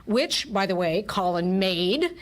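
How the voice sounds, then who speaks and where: A woman's voice: mid-range voice, expressive, slow, crowd noise. A woman speaking as a theatre troupe representative in a casual radio interview, chatting and explaining as she goes. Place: radio station studio.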